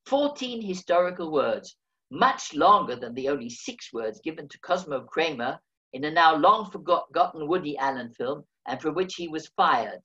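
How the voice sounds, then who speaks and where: Older man reading his memoir, lively. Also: a little high, normal speed, highs cut off. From England. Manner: dry, wry storytelling. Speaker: memoir reader.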